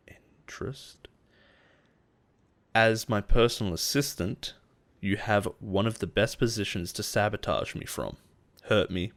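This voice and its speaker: An actor playing a possessive male boss in an intimate roleplay audio drama, coaxing and quietly menacing. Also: on the low side. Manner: soft-spoken coaxing, chuckles and sighs, quietly menacing.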